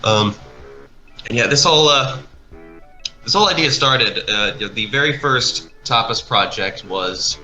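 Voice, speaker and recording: A man chatting casually in a rambling, halting way, like someone producing an animation project. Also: medium pitch, animated, sharp tone, low-quality recording. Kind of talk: casual chat over a speedpaint. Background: music.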